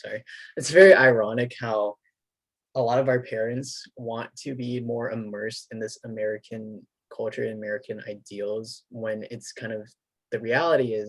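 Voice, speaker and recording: A man's voice: medium pitch, husky, no echo, poor audio. A young man hosting a casual conversation, thoughtful and loosely phrased with filler words. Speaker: host.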